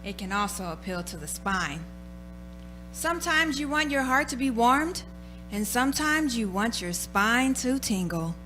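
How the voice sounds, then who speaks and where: A woman's voice: on the high side, big pitch swings, a little slow, sharp tone, soft background music, low-quality recording. A woman announcer giving a scripted, formal and dramatic introduction to a radio play.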